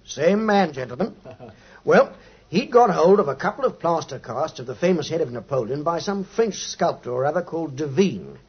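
Sheepish English detective, chatty, highish voice, 1950s radio drama, dull recording. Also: male voice, very animated, moderate pace, breathy edge, exaggerated voice. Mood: relishing the tale. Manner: colloquial, hesitant, chuckling storyteller.

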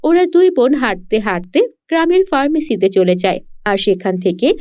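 A woman's voice: medium pitch, animated, moderate pace. A woman reading a scripted children's story as its narrator. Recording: dull lo-fi sound.

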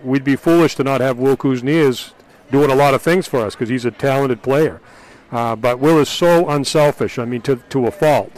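Gym-side coach in a headset. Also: male voice, mid-range voice, low-quality recording. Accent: Maine. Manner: measured, thoughtful, many fillers. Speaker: basketball coach. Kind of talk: postgame interview. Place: school gym, indoors. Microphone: headset mic.

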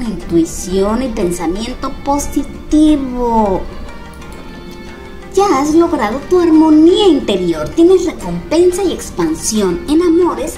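Woman tarot reader, gentle and reassuring, fairly high lively voice, music playing behind. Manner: gentle, reassuring, calls viewers "mis cuervitos". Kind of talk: tarot card reading for viewers. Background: background music.

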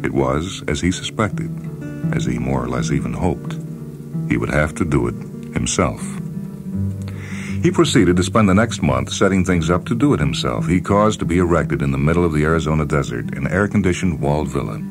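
A man narrating a short story in a measured, deliberate, dry deadpan way, as a scripted dramatic reading. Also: extremely low pitch. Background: background music.

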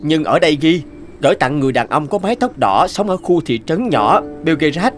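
A man's voice: fairly high pitch, sing-song, moderate pace. A man playing a kind, modest, apologetic working father in a dubbed cartoon, with music behind him. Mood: earnest.

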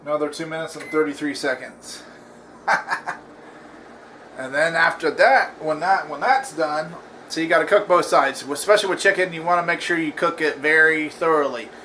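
A man hosting a casual home cooking demo in his kitchen, talking in a relaxed, rambling way with lots of fillers and restarts. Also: on the high side, moderate pace, slight background noise. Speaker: cooking channel host.

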